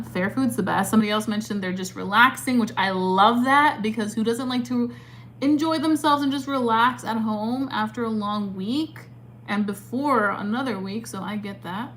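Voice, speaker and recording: A woman's voice: mid-range voice, a bit bright. A chatty woman in her twenties with a mid-range voice, talking through her makeup routine and answering viewers. She is upbeat and easygoing, full of "like" and "um", with a faint hum behind her. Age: 20s. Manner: chatty and upbeat, filler-heavy with many "like" and "um". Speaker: beauty creator answering live chat. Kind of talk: casual chat while doing makeup.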